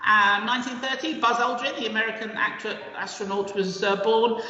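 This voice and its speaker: A woman's voice: a little high, steady tone, a little fast, sharp tone. A woman hosting a casual live stream show, chatty and hesitant, with many fillers as she reads out items. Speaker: host. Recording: roomy echo, dull lo-fi sound.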